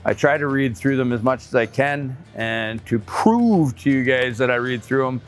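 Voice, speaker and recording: A man's voice: medium pitch, animated, moderate pace, faint music behind. Chatty folksy trucker man. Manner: folksy and chatty, upbeat asides; nervous on camera. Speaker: trucking channel host. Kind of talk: casual talk to camera.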